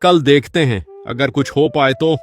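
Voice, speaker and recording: A man playing a humble, patient old village uncle in an animated moral story, speaking earnestly and reassuringly. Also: medium pitch, normal speed, natural voice. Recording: music behind the voice.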